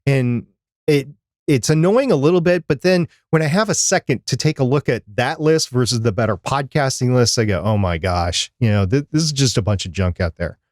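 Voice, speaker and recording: A man's voice: medium pitch, expressive, moderate pace, no room sound. Man chatting casually, close and dry. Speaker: podcast co-host. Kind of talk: casual chat about podcasting.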